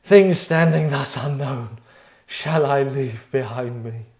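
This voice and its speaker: Guy doing a dying shakespeare prince, anguished n halting, really drawn out, echoey room. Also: mid-range voice, darkish tone. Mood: anguished, pleading. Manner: declamatory, halting, drawn-out phrases. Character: dying Prince Hamlet, anguished and grandly tragic. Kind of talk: theatrical Shakespearean table read. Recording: roomy echo.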